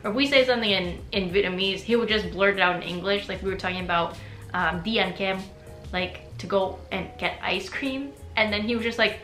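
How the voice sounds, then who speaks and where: A woman's voice: medium pitch, a little fast, fairly bright tone. A young adult woman chatting casually in a personal vlog, with frequent "like" fillers and easy laughter. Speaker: vlogger. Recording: roomy echo with faint music.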